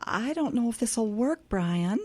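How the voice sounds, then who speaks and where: An actress with an American accent playing a gentle, scatterbrained older hippie mother, soothing but slightly flustered, in an audio drama. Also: fairly high pitch, animated, a little slow.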